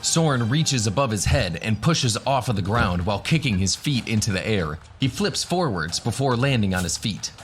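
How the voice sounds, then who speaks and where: A man's voice: lowish voice, very animated, moderate pace, hardly any pauses, faint music behind. A man narrating a scripted fantasy adventure as the game master of a radio drama.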